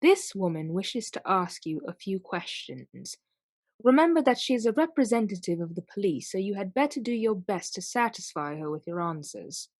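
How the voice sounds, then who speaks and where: A woman's voice: mid-range voice, animated, moderate pace, darkish tone, no room sound. A woman playing a proud, weary aristocratic widow in a radio play, formal and clipped. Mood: imperious.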